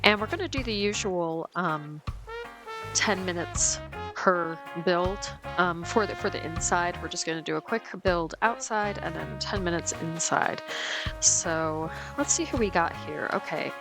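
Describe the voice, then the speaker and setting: Low-voiced woman with a lingering cold. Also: lively intonation, unhurried, music in the background. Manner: chatty and easygoing, with frequent ums and restarts. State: getting over a cold, a bit sniffly. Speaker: let's play host. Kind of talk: casual gameplay commentary.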